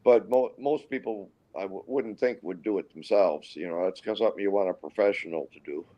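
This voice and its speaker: Low-voiced older Minnesota man, halting. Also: muffled recording. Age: 60s. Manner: rambling, halting, with frequent restarts. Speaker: guest pilot. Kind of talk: casual storytelling interview.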